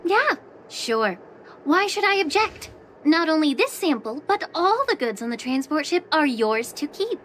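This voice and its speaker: An actress playing a calm, long-lived alchemist official who speaks with dry, teasing sarcasm in a video game. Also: female voice, high voice, measured pace, slight background noise, heavily acted. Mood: wry. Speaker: head alchemist.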